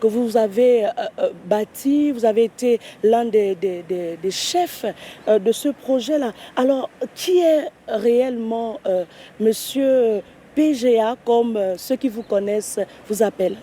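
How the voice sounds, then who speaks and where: A woman's voice: a little high, a little slow, mellow, a little hiss or hum. A woman hosting a television interview, asking polite, formal questions.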